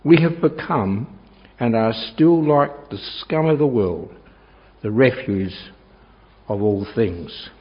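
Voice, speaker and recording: A man reading scripture aloud in a measured, solemn way. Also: mid-range voice, expressive. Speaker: scripture reader. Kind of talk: Bible reading. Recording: dull lo-fi church recording.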